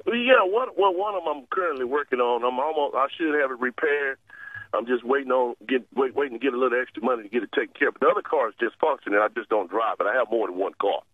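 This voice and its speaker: A man's voice: medium pitch, fast, dry recording. A stubborn, combative man who repeats himself while arguing over a telephone line. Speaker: prank call target. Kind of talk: argumentative phone conversation. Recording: dull lo-fi phone line.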